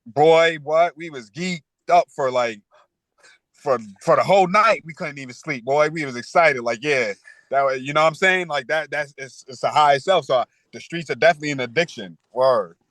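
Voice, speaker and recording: A man's voice: fairly high pitch, normal speed, fairly bright tone, dry recording. A man in his thirties speaking candidly as an interview guest, full of street slang and "you know.". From New York. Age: 30s. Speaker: podcast guest.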